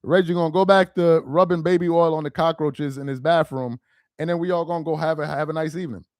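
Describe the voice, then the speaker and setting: A man's voice: on the high side, quick pace, no room sound, clean studio sound. A young man hosting a casual livestream, reading viewer comments aloud, laughing and exasperated, talking straight to his chat. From Nigerian heritage. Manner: animated, laughing, exasperated, talks to chat directly.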